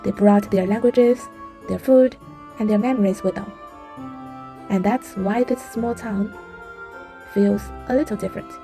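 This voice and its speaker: Gravelly dark-voiced woman narrator. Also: medium pitch, unhurried, long pauses, faint music behind. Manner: calm storytelling. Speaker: vlog narrator. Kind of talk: scripted voice-over narration.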